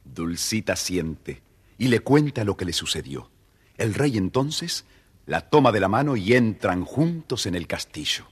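A man's voice: on the low side, sing-song, a little slow, breathy edge. A man narrating a children's story dramatically, addressing his young listeners directly.

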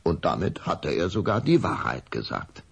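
A man's voice: rumbling low, wildly swooping pitch, normal speed, vocal fry, husky, exaggerated voice. A man narrating a children's audio drama as its scripted storyteller. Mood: measured storytelling. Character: male storyteller narrating a children's vampire tale.

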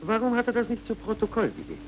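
An actor playing a smooth, evasive man feigning concern, theatrical and questioning, in a dubbed film noir. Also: high-pitched, huge pitch swings, rapid-fire, slight background noise, rough recording. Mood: evasive, probing.

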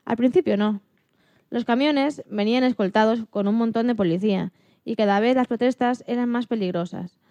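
A woman's voice: on the high side, normal speed, warm tone, no room sound, lightly acted. A woman playing an animal liberation activist and mother who tells her story earnestly in an acted radio interview, stumbling slightly now and then. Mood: earnest, solemn.

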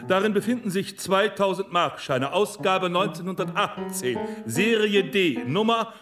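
A theatrical mind reader in a radio play, his high voice rising and swooping. He is polite yet imperious and takes his time, with piano music playing behind him. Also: animated. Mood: assured, commanding. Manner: deliberate, formal, polite but imperious. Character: commanding male stage hypnotist and mind reader, suave showman. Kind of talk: radio drama acting.

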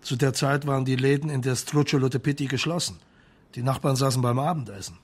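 An actor playing a reserved police marshal, speaking in a measured, probing, matter-of-fact way in a German radio crime drama. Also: male voice, mid-range voice, slightly rough, close to an everyday voice. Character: sober, reserved male Italian police marshal investigating.

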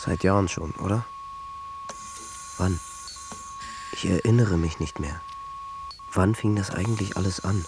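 A man's voice: low voice, measured pace, pauses often, noisy background. A man acting in a radio drama as a lost, panicking businessman who questions himself in anxious fragments. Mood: bewildered. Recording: music behind the voice.

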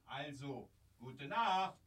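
A man acting in a radio drama as a fussy, suspicious elderly caller, grumbling querulously, heard quietly from a distance. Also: medium pitch, animated, very slow, breathy edge, heavily acted. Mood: querulous, puzzled.

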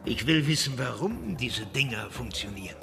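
An actor playing a sly, philosophical village medicine man in a German radio drama, speaking in a probing, deliberate way. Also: mid-range voice, big pitch swings, vocal fry, fairly bright tone. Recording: music behind the voice. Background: music.

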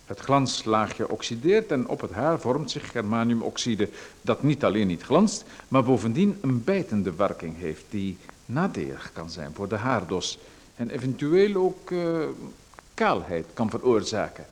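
An actor playing a pushy male salesman, lecturing smoothly and persuasively about a dubious hair machine. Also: mid-range voice, big pitch swings, unhurried. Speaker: radio drama actor. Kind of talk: radio play acting.

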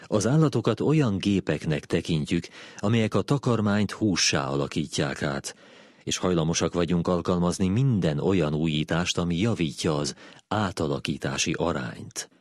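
A man's voice: sits low, no echo, clean studio sound. A man reading a written text aloud as scripted narration. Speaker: narrator reading a philosophy essay.

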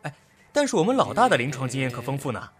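An actor playing an earnest, loyal young vet assistant in a modern audio drama. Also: male voice, mid-range voice, sing-song, quick pace, faint music behind, close to an everyday voice. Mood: reassuring. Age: young adult.

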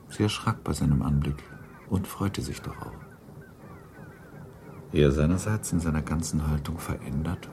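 A man's voice: very deep voice, a little mellow, slight background noise. A man reading scripted literary narration for a radio drama.

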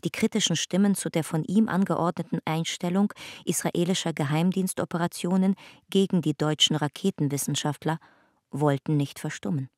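A woman narrating a radio drama in a calm, measured reading. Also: sits low, no echo, clean studio sound.

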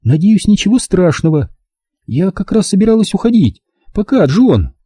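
A man's voice: sits high, big pitch swings, normal speed, dark tone, husky, no room sound, voice pushed up, exaggerated voice. A man voicing a stubborn, defiant young woman in an audiobook, a grown daughter who is weary, defensive and curt. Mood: evasive, curt. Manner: curt, argumentative. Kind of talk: audiobook character dialogue.

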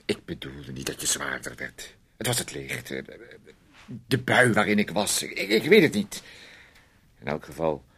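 A man's voice: rumbling low, very animated, a little slow, fairly bright tone, slightly rough, full character voice. An actor playing a domineering man in a radio play, sarcastically needling and teasing his timid housemate, swinging between outbursts and muttering. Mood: defensive, coaxing. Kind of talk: Dutch radio play acting.

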